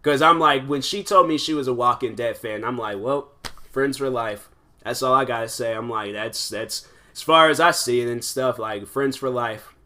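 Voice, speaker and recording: A man's voice: mid-range voice, moderate pace. A man in his twenties hosting his own podcast, talking casually and rambling with lots of fillers. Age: 20s. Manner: rambling, animated, many fillers. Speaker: podcast host. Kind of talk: casual solo podcast chat.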